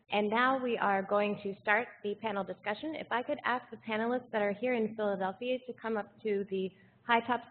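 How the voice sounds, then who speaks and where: A woman moderating a panel discussion at an event, politely guiding the speakers. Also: mid-range voice. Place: event hall. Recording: tinny, echoey hall, faint noise.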